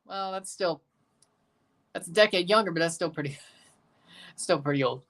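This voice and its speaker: A man's voice: sits high, moderate pace, a bit bright. A man in his twenties hosting a live match watchalong, talking casually and joking with his viewers between calls of the play. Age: 20s. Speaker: livestream host.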